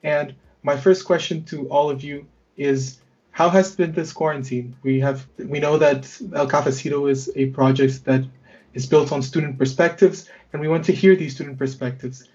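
A man's voice: on the high side, steady tone, moderate pace, a little mellow. Young male podcast host, hesitant delivery with restarts, muffled lo-fi sound. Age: young adult. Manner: hesitant, many fillers and restarts. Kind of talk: hosting a student podcast conversation.

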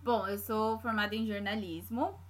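A woman's voice: mid-range voice, steady tone, moderate pace, background noise. A woman from São Paulo, speaking Portuguese with a paulista accent, casually telling her own story in conversation. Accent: São Paulo Brazilian Portuguese. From São Paulo, Brazil.

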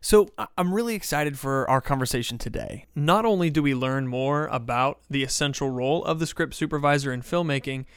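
Male podcast host, crisp and resonant, close dry sound, presenting and interviewing. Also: mid-range voice, brisk, few pauses, no echo. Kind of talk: scripted podcast introduction and interview questions.

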